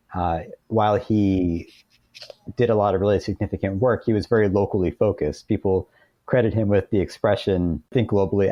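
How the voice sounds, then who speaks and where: A man's voice: sits low, warm tone, no room sound, lo-fi sound. A man speaking as a podcast guest, explaining ideas in a thoughtful, reflective interview style.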